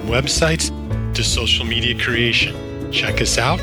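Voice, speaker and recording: A man's voice: medium pitch, a little slow. Male ad announcer, mid-range voice, scripted commercial read, guitar bed. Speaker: advertisement announcer. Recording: clear guitar music behind. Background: guitar music.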